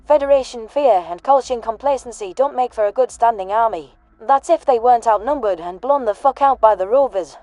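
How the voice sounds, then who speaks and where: A woman's voice: a little high, fairly quick, few pauses, dark tone, dry recording, lightly acted. A woman playing a tough, sardonic, grieving human soldier in a sci-fi audio drama, blunt and given to swearing. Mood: brisk, blunt.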